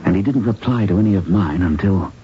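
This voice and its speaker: Lo-fi 1970s radio drama, earnest young physician, deep male voice, hushed, grave, measured, conspiratorial. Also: animated. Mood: bitter, somber. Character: grave, secretive, idealistic young male doctor turned investigator. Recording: dull lo-fi radio broadcast, noisy.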